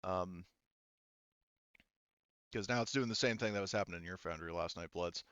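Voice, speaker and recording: A man's voice: low-pitched, moderate pace, fairly bright tone, clear, resonant tone, no echo, lo-fi sound. A man acting as game master for a tabletop game, talking casually with swearing and explaining the rules.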